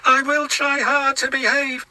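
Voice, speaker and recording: James, a man doing a high-pitched proud red engine. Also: unhurried, no room sound, exaggerated voice. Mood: apologetic. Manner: high, breathless, excited voice. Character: proud red male engine, anxious then determined.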